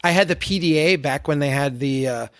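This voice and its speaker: A man's voice: fairly high pitch, a little fast, fairly bright tone. An American man in his forties explaining his views earnestly and with conviction as an interview guest. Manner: earnest, opinionated, uses rhetorical contrasts. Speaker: podcast guest, advocate for towns.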